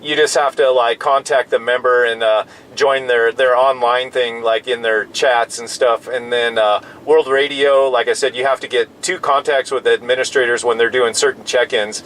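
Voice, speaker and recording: An American man in his fifties talks over a rumbly, noisy background in a pickup truck. He chats like a CB radio hobbyist, easygoing and rambling, at an ordinary middle pitch. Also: fairly even intonation, normal speed, few pauses, a bit bright. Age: 50s. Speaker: amateur CB radio operator. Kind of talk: casual two-way radio chat. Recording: in-vehicle recording with clear background noise.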